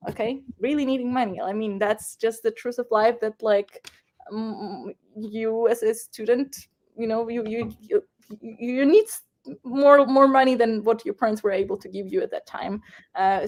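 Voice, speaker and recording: A young woman with a Ukrainian accent, her voice sitting a little high. She talks in a loose, animated way, full of fillers, restarts and quick laughs. She is a guest recounting her own story in an interview.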